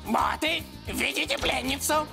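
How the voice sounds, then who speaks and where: A woman's voice: sits high, measured pace, vocal fry, music in the background. A woman playing a bossy, blustering villain gang leader in a cartoon dub, with theatrical delivery. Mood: self-important. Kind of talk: animated cartoon dub acting.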